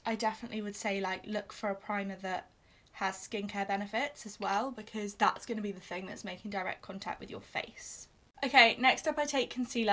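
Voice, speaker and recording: A young British woman chatting casually while doing her makeup on camera, self-deprecating, with frequent fillers. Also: mid-range voice. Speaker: beauty vlogger. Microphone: camera in front of her.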